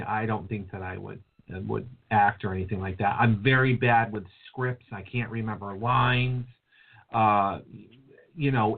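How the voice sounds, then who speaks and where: A man hosting a casual podcast chat, rambling along with lots of fillers. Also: fairly low pitch. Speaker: show host. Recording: phone-like call audio.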